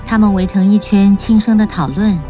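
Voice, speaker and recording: A woman's voice: medium pitch, steady tone, fairly quick, warm tone. Woman narrating a children's story over music. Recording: music bed under narration.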